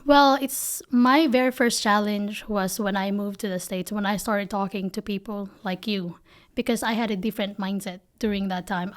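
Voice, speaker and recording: A woman in her thirties from the Philippines with a Filipino accent, answering interview questions earnestly and often saying "you know", on a desk podcast mic in a small office. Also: mid-range voice. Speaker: guest, company founder. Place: small office studio with bookshelves. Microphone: desk podcast mic on boom arm.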